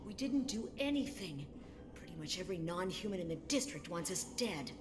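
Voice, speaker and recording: An actress playing a worried, defensive human refugee in a video game, with an aggrieved, insistent delivery. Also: female voice, on the low side, big pitch swings, fairly quick. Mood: defensive, indignant. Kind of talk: video game dialogue acting.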